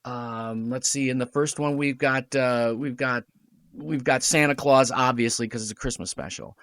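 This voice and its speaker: A middle-aged man, a radio drama producer and writer, chatting casually as a podcast guest and telling his stories with lively quoted dialogue. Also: medium pitch, moderate pace, no echo. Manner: storytelling, animated, quotes others in dialogue. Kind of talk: casual chat.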